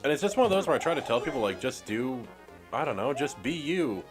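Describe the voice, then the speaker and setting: A man's voice: medium pitch, very animated, fast talker, music in the background. A man co-hosting a gaming podcast, chatting in an easygoing, laid-back way and often agreeing. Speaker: podcast co-host. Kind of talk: casual gaming chat.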